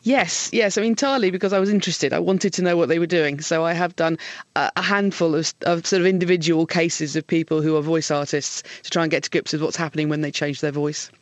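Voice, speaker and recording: A woman neuroscientist answering interview questions in a quick, eager way. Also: low voice, fairly even intonation, no echo.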